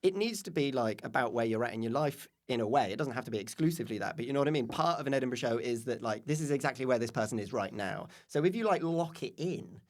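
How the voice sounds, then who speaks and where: A man chatting casually as a comedy guest, excitable, playful and rambling, with some swearing. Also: mid-range voice, fast talker, hardly any pauses. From Hampshire, England. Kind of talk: fast, bantering casual chat.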